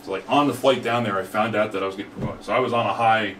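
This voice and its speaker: A man's voice: fairly low pitch, steady tone, racing, fairly bright tone. A man chatting casually and telling stories as a firefighter instructor guesting on a podcast. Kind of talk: casual storytelling chat. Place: studio room. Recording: roomy, distant mic, faint background noise.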